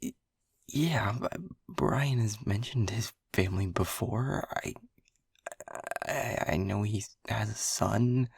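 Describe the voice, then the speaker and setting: A man's voice: fairly low pitch, slow, creaky, slightly rough, dry recording, studio quality, heavily acted. A man playing a stammering, whispering lab technician. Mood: urgent whisper. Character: anxious young male lab technician, protective. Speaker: audio roleplay voice actor. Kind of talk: audio roleplay acting.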